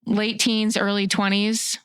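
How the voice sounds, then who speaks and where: A woman's voice: mid-range voice, flat delivery, slow, a bit bright, smooth, no echo, studio quality. An American woman in her sixties from the Chicago suburbs, speaking earnestly and reflectively as an interview guest. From Chicago suburbs, USA. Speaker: podcast guest, fishing guide and outdoor writer.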